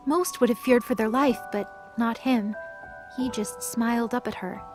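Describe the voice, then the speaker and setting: A woman's voice: fairly high pitch, steady tone, measured pace. A woman narrating a scripted audio drama as a storyteller. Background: music.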